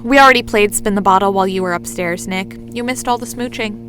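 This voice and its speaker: A woman's voice: medium pitch, quick pace, over music, natural voice. A woman playing a playful, theatrical young woman in an audio drama, showy and mischievous like a mock announcer. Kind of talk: lively audio drama dialogue.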